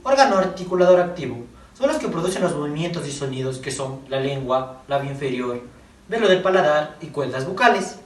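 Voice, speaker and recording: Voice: mid-range voice, expressive, brisk. A young adult student giving an explanatory class presentation, recorded with echo and at a distance from the microphone.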